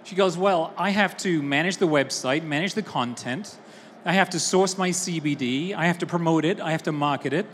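A woman from Texas giving an energetic, conversational talk at a conference and asking the audience questions. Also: low voice, normal speed, a little hiss or hum. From Austin, Texas. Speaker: conference keynote speaker. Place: large conference hall. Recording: roomy hall with faint ambience.

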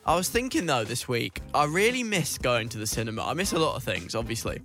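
A man's voice: mid-range voice, big pitch swings, brisk, sharp tone, faint music behind. A man presenting a radio show in a casual, rambling, tongue-in-cheek conversational way.

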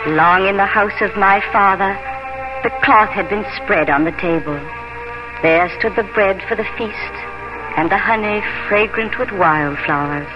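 A woman acts a gentle, anxious young bride with poetic, theatrical delivery. It is a dull 1950s radio drama recording, with loud music behind her. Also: on the low side, close to an everyday voice.

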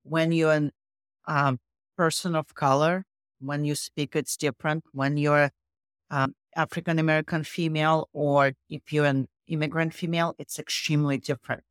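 A middle-aged woman with a low voice and a non-native accent, speaking as a guest author in an interview, emphatic and grounded in facts and figures. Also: flat delivery, normal speed, dry recording. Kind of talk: interview conversation.

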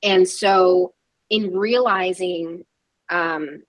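Low-voiced woman, candid and reflective. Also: steady tone, very slow, dry recording, poor audio. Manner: reflective and candid, with frequent "um" and restarts. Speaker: guest, PR agency founder. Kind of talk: candid interview conversation.

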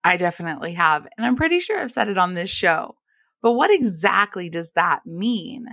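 A woman's voice: on the low side, big pitch swings, normal speed, vocal fry, bright, forceful tone, dry recording, studio quality. Chatty woman podcaster, allergy-scratchy voice. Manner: chatty, friendly, uses y'all and like. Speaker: podcast host. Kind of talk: casual solo podcast.

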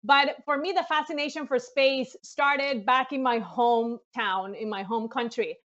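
High-voiced woman, animated guest. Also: unhurried, highs cut off. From Colombia. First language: Spanish. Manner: enthusiastic, animated storytelling with laughs. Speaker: NASA mission lead, guest. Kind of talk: interview.

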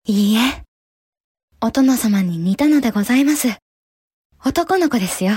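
A woman's voice: fairly high pitch, expressive, moderate pace, a little mellow, breathy edge, dry recording, studio quality. A female actor playing a devoted, resolute young samurai wife and mother, speaking politely and formally in a historical audio drama. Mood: proud, tender. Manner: polite, formal period speech.

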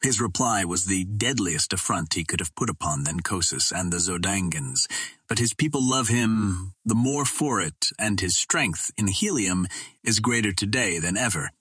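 A loyal Martian warrior speaks earnestly and briskly, as a male audiobook reader plays him. His voice is low and a little husky. The recording is dry and close, like storybook dialogue. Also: animated, fairly bright tone, no room sound, full character voice. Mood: grave, earnest.

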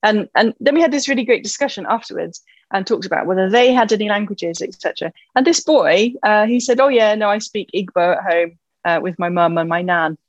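A woman's voice: medium pitch, a little fast, no echo, lo-fi sound. A woman talking enthusiastically in a casual conversation about languages, with lots of "you know" fillers.

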